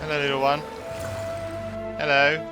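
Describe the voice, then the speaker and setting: A man's voice: a little high, completely flat delivery, a little slow, music in the background. A man doing casual gameplay reaction commentary as a streamer, blunt and joking, with swearing.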